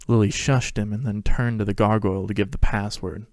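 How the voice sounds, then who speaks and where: A man's voice: lowish voice, fairly even intonation, brisk, no room sound, studio quality. A man hosting and narrating a dramatic reading of a fan fiction story.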